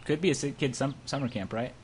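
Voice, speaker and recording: A man's voice: mid-range voice, fast talker. A man reading visual novel narration aloud and chatting casually in between, on an amateur recording. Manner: stumbles over words while reading. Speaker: amateur voice-over player in a group. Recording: noisy amateur home recording.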